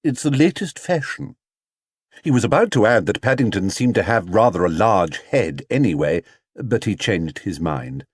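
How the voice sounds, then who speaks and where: A man narrating a children's storybook as an audiobook reader, with expressive, measured storytelling. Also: deep voice, slightly rough, dry recording, clean studio sound.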